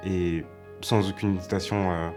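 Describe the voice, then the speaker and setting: A man with a very deep voice speaks earnestly and encouragingly, pausing now and then with small hesitations. Soft music plays faintly under his words. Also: fairly even intonation. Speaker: interviewee. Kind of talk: documentary interview voice-over.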